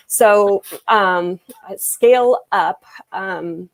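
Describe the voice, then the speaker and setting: A woman's voice: mid-range voice, drawn-out words, dry recording. A woman translator and interpreter answering interview questions in a casual, hesitant way, with many fillers and restarts over a video call.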